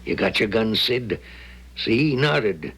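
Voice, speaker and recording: An actor playing a crude, ruthless, greedy thief with a gravelly voice and folksy tough-guy talk in a 1970s radio drama. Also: fairly low pitch, animated, slow, a bit bright, heavily acted. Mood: menacing. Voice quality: husky, gravelly.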